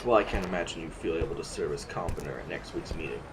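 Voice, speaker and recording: A man playing a formal, courteously menacing sovereign who chairs a council, speaking in pompous, measured, halting oratory in an audio drama. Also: a bass voice, background noise. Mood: stern, patronizing.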